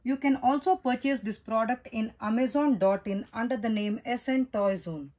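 A woman, slowly and carefully narrating a step-by-step toy unboxing and demonstration. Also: fairly high pitch, a little mellow. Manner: slow, careful, instructional. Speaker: toy reviewer. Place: indoors, at a table. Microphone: off-camera voice, hands only in frame.

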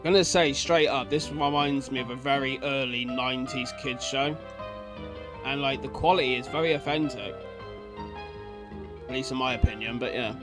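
A man's voice: medium pitch, steady tone, normal speed, music in the background. A man in his thirties giving casual reaction commentary, musing aloud and talking informally to viewers. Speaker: reaction video host. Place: indoors, seated at a desk.

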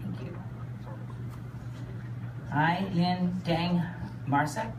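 Lowish woman reading names in an echoey hall. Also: steady tone. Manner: formal, measured, reads names carefully. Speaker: immigration official presenting petitioners. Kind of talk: formal ceremony address, reading out names. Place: hall with large windows, seated audience. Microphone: phone recording from the audience. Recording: echoey hall, distant, audience noise.

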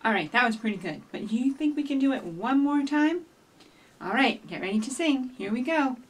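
Higher-voiced woman hosting children's storytime, slightly distant. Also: lively intonation, brisk, breathy edge. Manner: encouraging, addresses young children directly with questions. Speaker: children's librarian, storytime host. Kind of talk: children's storytime presenting and picture book read-aloud. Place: small indoor office with shelves. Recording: distant microphone in a room.

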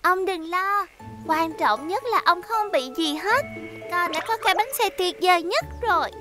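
A sweet, childlike cartoon character, speaks in an extremely high voice. The delivery is earnest and caring, with music clearly behind it. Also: female voice, lively intonation, normal speed. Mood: tender. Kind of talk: Vietnamese-dubbed children's cartoon.

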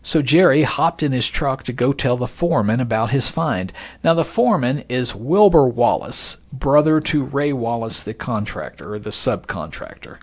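Male podcast narrator, mid-pitched, measured and expository, reading from script and quoted sources. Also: lively intonation.

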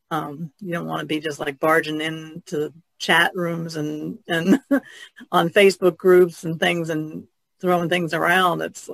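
A woman's voice: lowish voice, vocal fry, dry recording. A woman chatting informally in a podcast discussion, often adding "you know".

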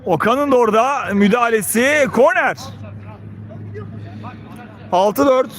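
A man's voice: very high-pitched, slow, a bit bright. A man doing live play-by-play football commentary in clipped phrases, calling out player names. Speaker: match commentator.

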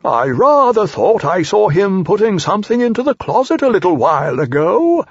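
A man's voice: high-pitched, very animated, moderate pace, breathy edge, no room sound, pitched above the performer's own voice, full character voice. A man voicing a fussy, pompous old male owl who is absent-minded. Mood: vague. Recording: dull lo-fi audiobook recording.

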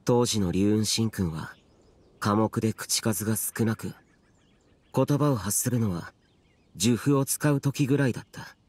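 A man's voice: fairly low pitch, a little slow, a little mellow, breathy edge. A man voicing an aloof, solemn immortal warrior guardian in a video game, speaking in grave, terse, archaic phrases. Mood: solemn recollection. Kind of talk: dramatic video game dub acting.

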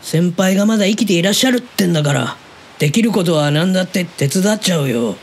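A man playing an easygoing, chatty middle-aged uncle who is soft on women, in a Japanese audio drama. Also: high-pitched, animated, fast. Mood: encouraging, easygoing. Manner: casual, blunt, chatty.

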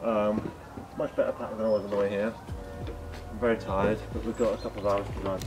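A man's voice: lowish voice, flat delivery, fairly quick. A young man vlogging casually about his ski training, chatty and dry, filmed on a handheld camera at arm's length. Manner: chatty, dry and self-deprecating. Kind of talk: casual vlog. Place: indoor ski hall and outdoors by a van and beach. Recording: music playing underneath.

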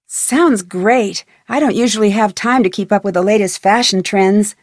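A woman's voice: mid-range voice, moderate pace, dry recording, clean studio sound, natural voice. A woman playing a curious, friendly young amateur detective in a video game, with upbeat, conversational scripted delivery. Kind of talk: scripted video game dialogue and tutorial narration.

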